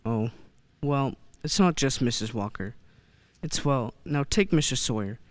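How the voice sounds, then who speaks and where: A man acting a kindly, principled elderly gentleman in a radio play, with earnest, deliberate phrasing. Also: mid-range voice, expressive, close to an everyday voice. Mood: disappointed, wistful.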